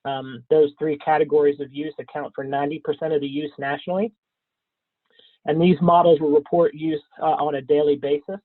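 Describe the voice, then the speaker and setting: A man presenting slides in a webinar, scratchy from a cold, speaking haltingly with frequent ums and throat clearing. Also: fairly high pitch, little pitch movement, no room sound. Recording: thin phone-like webinar audio, dry.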